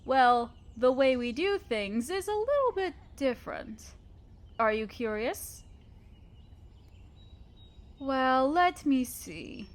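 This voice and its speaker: A gentle spider queen, acted by a woman with a fairly high voice. She is loving and thoughtful, explaining things tenderly and patiently, as a mother would to an adopted child in an audio roleplay. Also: big pitch swings, takes it slowly, pauses often, heavily acted. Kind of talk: scripted audio roleplay, addressing the listener.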